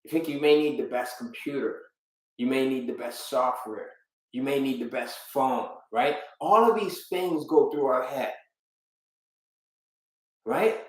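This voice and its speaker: A man coaching a live webinar audience, emphatic and energetic, with a steady mid-range voice. He keeps asking his viewers rhetorical questions. The sound bounces around a very echoey room. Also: medium pitch, a little slow, pauses often. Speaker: online business coach. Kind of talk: live webinar teaching, talking to chat viewers.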